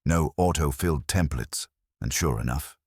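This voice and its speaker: Very deep, husky male mystery narrator. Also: expressive, normal speed, darkish tone, dry recording, studio quality. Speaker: audiobook narrator. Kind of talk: scripted first-person detective story narration.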